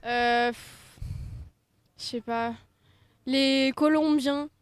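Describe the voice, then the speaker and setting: An eighteen-year-old woman answering a street interview outdoors, hesitant and soft-spoken. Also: high voice, steady tone, drawn-out words, clean tone, no room sound. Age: teens. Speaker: passer-by.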